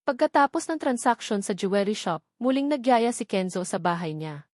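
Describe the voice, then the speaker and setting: A woman's voice: medium pitch, animated, moderate pace, dry recording, studio quality. A woman with a Filipino accent reading a scripted first-person romance novel as an audiobook narrator.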